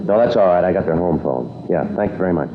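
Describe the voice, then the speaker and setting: Low-voiced, deadpan American police detective. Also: male voice, quick pace, music in the background. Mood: polite, businesslike. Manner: clipped, matter-of-fact, deadpan. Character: stoic, businesslike male police detective sergeant. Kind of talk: 1950s radio drama acting and case narration. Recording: dull, noisy old radio recording.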